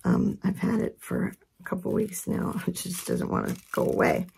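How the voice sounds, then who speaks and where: Hoarse woman with a gravelly, husky voice. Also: fairly low pitch, moderate pace. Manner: relaxed, chatty, reassuring. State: hoarse from a head cold. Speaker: craft instructor. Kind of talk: casual step-by-step tutorial.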